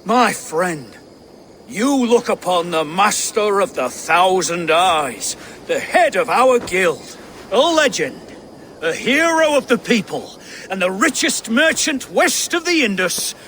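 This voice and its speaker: A man playing an excitable, fawning merchant's apprentice in a video game, with theatrical sales patter. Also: high-pitched, slow, slight background noise, full character voice. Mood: reverent, proud. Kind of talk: video game character acting.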